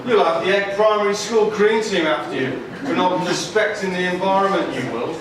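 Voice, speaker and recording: A man's voice: high voice, brisk, natural voice. A man voicing a grumpy, pompous old dragon in a live puppet show, indignant and chatty. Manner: indignant, pompous, chatty British grandpa phrasing. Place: large room, live audience puppet show. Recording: echoey hall, far from mic, noisy.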